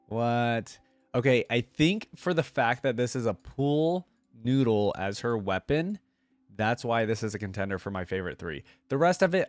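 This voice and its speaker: Man, lowish voice, dry close sound, casual reaction commentary, informal slang, thinking aloud. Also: no room sound. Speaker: reaction video host.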